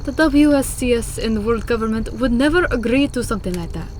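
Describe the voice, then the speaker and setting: A woman's voice: a little high, animated, brisk, a little mellow, natural voice. Visual novel clan member, female actor: earnest, serious, explanatory, upper-register voice, slight noise behind. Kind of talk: scripted visual novel voice acting. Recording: faint background noise.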